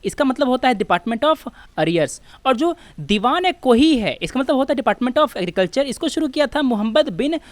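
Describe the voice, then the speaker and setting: A woman's voice: high-pitched, sing-song, normal speed, no room sound. A woman with an Indian accent giving an informal exam coaching lecture, explaining step by step and posing questions that she then answers.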